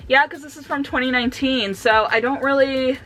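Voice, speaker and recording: A woman chatting casually and candidly about books for a vlog, with an animated, slangy, giggly way of talking. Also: sits high, brisk, a little hiss or hum. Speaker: book reviewer vlogger.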